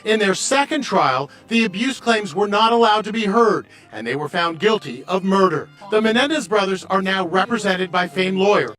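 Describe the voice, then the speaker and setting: A man's voice: sits high, lively intonation, normal speed, hardly any pauses, fairly bright tone, soft background music, poor audio. A man narrating a scripted television news report as a reporter.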